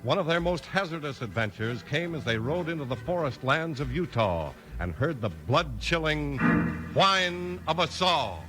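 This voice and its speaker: A man's voice: a little high, very animated, normal speed, music in the background, low-quality recording. A man announcing and narrating in a scripted 1950s radio style.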